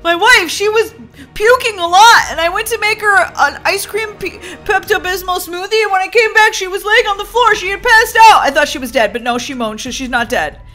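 A woman's voice: on the high side, fast, few pauses, pushed and bright, soft background music. A woman playing a worried, goofy young husband in a children's skit, fretful and dramatic. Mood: panicked. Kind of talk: playful skit role-play for children.

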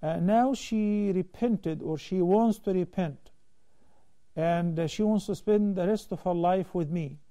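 A man in his thirties, an Islamic scholar hosting a call-in TV show, explaining rulings in a measured way from a studio desk on a lapel mic. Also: sits high, mellow. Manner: measured, explanatory, gestures while talking. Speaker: Islamic scholar answering viewer questions. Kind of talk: call-in religious Q&A.

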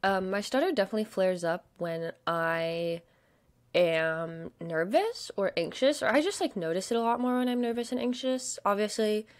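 A young woman with a stutter, chatting casually at her desk about shopping, with lots of fillers and soft murmured asides. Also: medium pitch, lively intonation, normal speed. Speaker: vlogger. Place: home desk.

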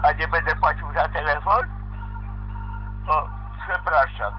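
A man with a fairly high voice plays along in an improvised homemade radio play. He speaks politely and hesitantly, often asking questions. The recording is muffled and lo-fi, with clear guitar music over the voice. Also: measured pace. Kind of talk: improvised pretend-play phone dialogue.

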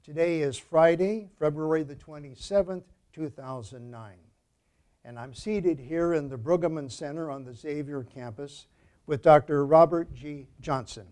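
A man's voice: fairly high pitch, normal speed, darkish tone. A man who is a priest and university archivist, formally introducing and questioning a guest in an oral history interview. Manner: formal, courteous, frequent affirming murmurs.

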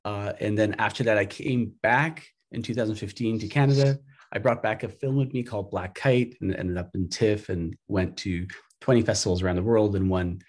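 A man's voice: on the low side, normal speed. A man from Afghanistan, a filmmaker, talking hesitantly on a video-call panel with many fillers. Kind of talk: casual panel talk. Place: home room, video call.

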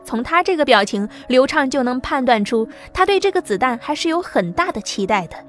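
Woman reading a sci-fi audiobook, even and steady, high voice, soft music behind. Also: a little fast. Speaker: narrator. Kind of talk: scripted audiobook narration.

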